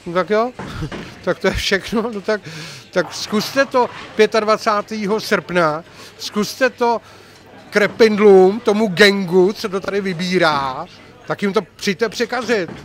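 A man's voice: sits high, fairly bright tone. Older man hosting a bowling event, jokey. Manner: jokey, chatty, teasing. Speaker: tournament host and reporter. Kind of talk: event report and interviews. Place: large bowling alley, indoors. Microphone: handheld mic. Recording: faint hall noise.

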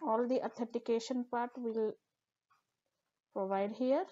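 Higher-voiced woman explaining software steps. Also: unhurried, dull audio. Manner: steady step-by-step explaining with fillers. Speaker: tutorial instructor. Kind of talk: screen-recorded software tutorial.

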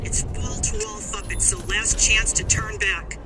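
A woman's voice: mid-range voice, unhurried, lightly acted. Woman playing a blunt American army officer, game music behind. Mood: warning. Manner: curt, commanding. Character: blunt, tough female army warrant officer. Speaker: military officer. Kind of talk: video game character dialogue.

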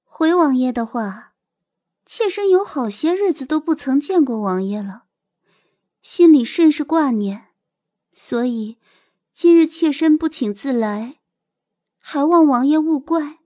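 An actress playing a coquettish, scheming young concubine in an audio drama, with a simpering, wheedling manner. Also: female voice, sits high, lively intonation, a little slow, long pauses, warm tone. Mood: fawning. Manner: simpering, affected, sweetly wheedling. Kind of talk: Chinese audio drama acting. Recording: music bed behind the voice.

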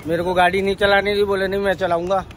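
A middle-aged man chatting and teasing his family on a phone camera, joking in a playful, animated way. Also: high voice, fast talker, a crowd in the background. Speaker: family uncle on a vlog. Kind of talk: casual joking family chat. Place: inside a car and home, phone camera close.

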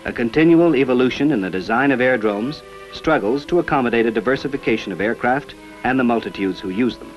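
A man reading scripted narration for an old informational film in a measured, formal manner. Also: medium pitch, animated, highs cut off. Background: background music.

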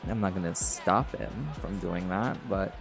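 A man's voice: low-pitched, unhurried, vocal fry. A man voicing a chill, cocky male jaguar shifter who is a pack alpha, talking in a teasing, laid-back way. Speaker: audio roleplay performer. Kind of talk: scripted audio roleplay monologue. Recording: music playing behind. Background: party music.

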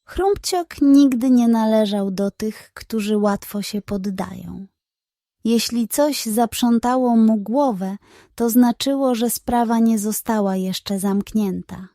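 A woman narrating a scripted children's bedtime story in a measured, expressive manner. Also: fairly high pitch, dry recording, clean studio sound.

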